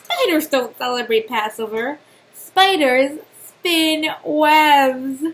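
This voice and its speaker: A woman voicing a patient, gently firm mother spider in a children's storybook, explaining things and fondly chiding. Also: high-pitched, takes it slowly, a little hiss or hum. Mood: patiently explaining. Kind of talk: children's storybook read-aloud.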